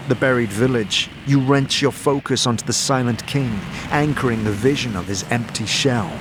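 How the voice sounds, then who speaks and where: A man giving scripted fantasy narration in a dramatic, measured storytelling manner. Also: mid-range voice. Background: music.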